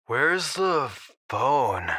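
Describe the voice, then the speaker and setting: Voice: medium pitch, big pitch swings, extremely slow, sharp tone, husky, no room sound, clean studio sound, full character voice. An actor playing a dazed, easygoing slacker phoning a radio show in an audio drama, slangy and hesitant. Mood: confused.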